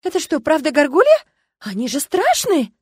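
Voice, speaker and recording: An impulsive young fantasy heroine, voiced by a woman narrator, pitched high and easily flustered. She chatters and exclaims in storybook fashion, recorded dry and close. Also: expressive, fast, a little mellow, dry recording. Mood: amazed.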